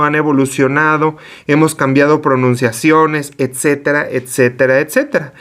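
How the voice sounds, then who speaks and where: A man's voice: fairly high pitch, a bit bright, smooth. Man explaining a lesson. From Mexico. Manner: asks himself questions and answers them, with small self-corrections. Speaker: teacher trainer. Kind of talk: explanatory online lecture.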